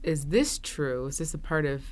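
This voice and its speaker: A woman's voice: low-pitched, expressive, moderate pace. A woman hosting an interview, asking questions in a conversational style. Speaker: podcast host and interviewer.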